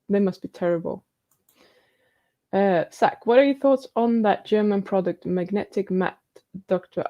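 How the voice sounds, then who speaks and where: A woman's voice: on the low side, creaky, dull audio. A woman hosting a live stream, reading out viewer questions and comments and answering them in a chatty, casual way. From New Zealand.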